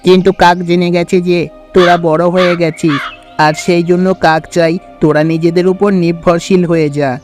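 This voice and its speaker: Male actor as stern crow dad, high-pitched, scolding, cawing, cartoon style, faint music. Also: flat delivery, normal speed, lightly acted. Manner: scolding, cawing between sentences. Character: stern crow father turning out his young.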